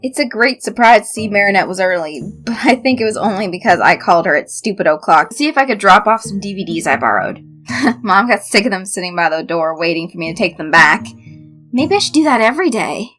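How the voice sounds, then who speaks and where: Woman as earnest teen boy, lovestruck, awkward, halting, bright tone, audio story. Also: mid-range voice, expressive, soft background music, close to an everyday voice. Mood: bashful rambling.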